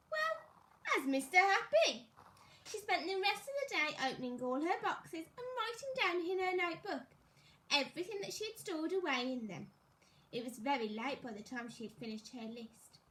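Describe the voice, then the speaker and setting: Very high-pitched, animated woman storyteller. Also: rough recording. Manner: expressive, deliberate storytelling with rhetorical questions. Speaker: primary school teacher reading to young pupils. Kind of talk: animated children's story read-aloud.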